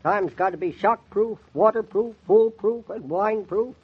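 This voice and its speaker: An actor playing a crusty, folksy, thrifty old country man with a rural American accent and a husky, grumbling voice in a 1940s radio drama. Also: high-pitched. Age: older. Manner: folksy, grumbling, drawn-out musing. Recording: dull lo-fi 1940s radio recording.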